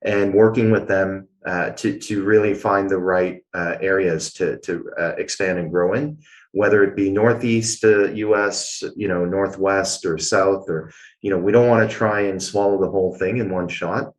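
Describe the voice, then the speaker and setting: Low-voiced man explaining. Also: fairly even intonation, normal speed, low-quality recording. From Canada. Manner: explanatory, frequent filler sounds. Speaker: guest, blockchain executive. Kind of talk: conversational interview answers.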